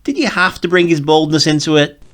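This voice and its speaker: A man's voice: on the high side, brisk, a bit bright. A man making dry, sarcastic comic commentary over an audio drama.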